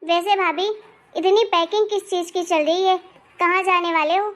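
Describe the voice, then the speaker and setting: A woman voicing an irritable married daughter in an animated family story, sharp, bossy and sarcastic. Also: extremely high pitch, moderate pace. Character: irritable, bossy married daughter visiting her parents' home.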